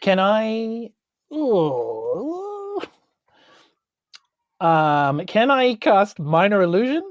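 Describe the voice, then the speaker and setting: A woman with a New Zealand accent plays a tabletop game in relaxed, improvised chat. Her voice sits at a middling pitch and is recorded very dry, with little room around it. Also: drawn-out words, pauses often, no echo. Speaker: tabletop role-playing game player.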